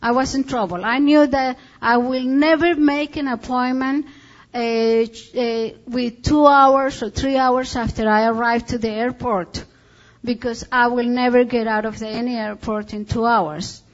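A woman's voice: on the high side, fairly even intonation, a little slow, dull audio. A woman from Colombia with a Colombian Spanish accent, giving a missionary report talk and often stopping to search for English words.

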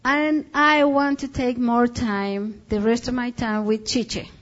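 Colombian woman missionary, fairly high voice, slow halting report talk, dull lo-fi recording. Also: fairly even intonation. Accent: Colombian Spanish. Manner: halting, searching for English words.

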